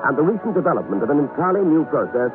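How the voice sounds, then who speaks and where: A man's voice: fairly high pitch, big pitch swings, racing. A man announcing for a 1960s radio sponsor with dramatic, polished salesmanship. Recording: tinny band-limited old radio recording. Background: music.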